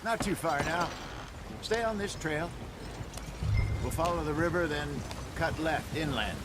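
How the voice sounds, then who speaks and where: A man's voice: on the high side, a little slow, very noisy, lightly acted. An actor playing an older, talkative outlaw con man in a western game, with a wry, folksy, fancy-worded way of speaking. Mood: wry, reflective. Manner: wordy, folksy, wry storytelling. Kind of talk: naturalistic western game acting.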